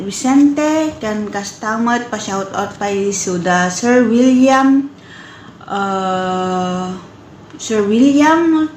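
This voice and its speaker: A woman with an Ilocano accent reading out shout-out greetings, halting and stumbling over names. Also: a little high. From northern Philippines. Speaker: listener sending shout-out greetings. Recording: echoey room with faint background noise.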